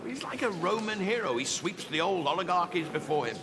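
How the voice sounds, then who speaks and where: An actor playing a fervent, idealistic revolutionary musician in a period drama, excitable and declamatory. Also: male voice, sits high, brisk, very noisy. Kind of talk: period television drama acting. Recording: reverberant hall.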